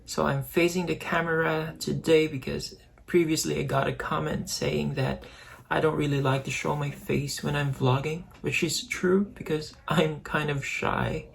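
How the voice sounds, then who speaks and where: A man's voice: a little high, flat delivery, moderate pace, vocal fry. A young man from the Philippines with a Filipino accent, talking casually to the camera as a vlogger. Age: young adult. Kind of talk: casual vlog talk to camera.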